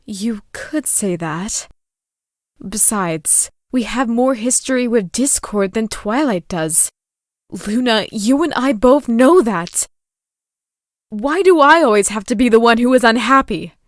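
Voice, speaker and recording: A woman's voice: fairly high pitch, lively intonation, takes it slowly, dry recording, studio quality. A woman voicing a regal, lovelorn pony princess and older sister in a scripted audio drama, wistful and theatrical, with sighs. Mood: wistful, exasperated.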